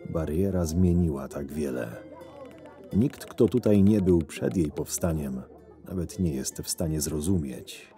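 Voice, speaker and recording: Low, mellow, sardonic schemer voiced by a man. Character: cynical, scheming male camp henchman recounting his days. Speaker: narrator and lead actor of an audio drama. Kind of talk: first-person scripted narration. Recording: music under the voice. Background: background music.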